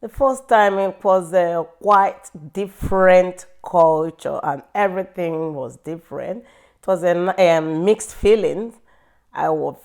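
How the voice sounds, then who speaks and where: A middle-aged woman from Nigeria with a Nigerian accent, speaking slowly and thoughtfully in an interview about her life, with frequent pauses and fillers. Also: sits low, darkish tone. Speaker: interview guest. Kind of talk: reflective personal interview.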